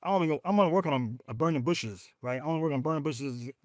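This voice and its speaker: A man who is a veteran advocate, talking emphatically in a podcast conversation and telling stories with lively, faith-filled conviction. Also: fast talker, dry recording, studio quality. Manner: emphatic, animated storytelling, speaks of faith; rising pitch when excited.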